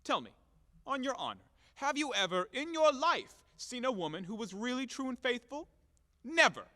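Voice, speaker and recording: An actor playing a blustering, hot-tempered boor in an audio play, loud and declamatory, scornful and bombastic. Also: male voice, extremely high pitch, very animated, moderate pace, heavily acted. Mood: scornful ranting. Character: blustering, hot-tempered, boorish man demanding repayment, cynical about women. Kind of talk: theatrical audio play acting. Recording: echoey hall-like reverb.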